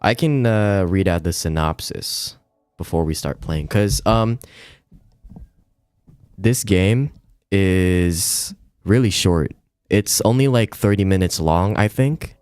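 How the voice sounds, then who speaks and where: A man's voice: sits low, takes it slowly, a little mellow, clear, resonant tone, no echo. A man livestreaming in an informal, slangy, slightly hesitant way, chatting to viewers and reading game text aloud. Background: music.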